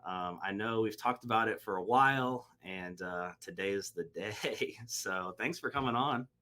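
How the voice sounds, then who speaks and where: A man's voice: lowish voice, moderate pace, sharp tone. A man hosting a podcast, chatting casually and rambling with many fillers and restarts. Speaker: podcast host. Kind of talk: casual interview chat.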